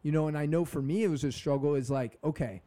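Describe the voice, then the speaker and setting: A man's voice: on the high side, quick pace, mellow. A young man hosting a podcast, talking in a casual, upbeat and motivational way with some slang. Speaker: podcast host. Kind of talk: casual conversation.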